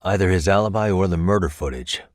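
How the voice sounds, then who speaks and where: A male actor voicing a composed, determined private detective in a video game, with a measured, probing delivery. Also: sits low, no echo, studio quality, natural voice. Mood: focused, determined. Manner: measured, probing, matter-of-fact. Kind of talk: English video game dialogue dub. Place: dry recording studio.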